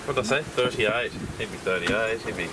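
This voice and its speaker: A man's voice: on the low side, a little fast. A man, chatting offhandedly on a sailing boat at sea. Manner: laid-back, offhand remarks. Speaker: skipper and fisherman. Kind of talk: casual chat. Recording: windy outdoor camera audio.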